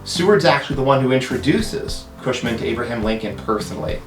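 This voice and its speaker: A man's voice: medium pitch, lively intonation, fast, echoey room, soft background music. A man presenting to camera as a playful, self-aware museum education host.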